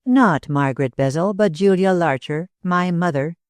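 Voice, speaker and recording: A reader voicing a composed young gentleman who speaks dryly and with satire. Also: medium pitch, moderate pace, smooth, no room sound, natural voice. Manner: dry, satirical.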